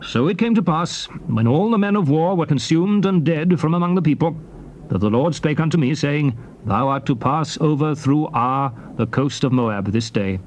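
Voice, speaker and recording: A man's voice: on the high side, lively intonation, moderate pace, a little mellow, slight background noise, close to an everyday voice, rough recording. An actor playing a solemn biblical leader recounting and exhorting his people, declaiming archaic scripture theatrically. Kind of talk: dramatized scripture reading, theatrical oratory.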